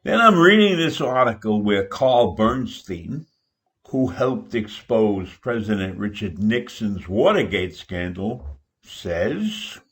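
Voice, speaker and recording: An older man with an American accent giving a political opinion monologue, deliberate and emphatic, with dramatic pauses. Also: on the low side, sing-song, fairly bright tone. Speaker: political commentator.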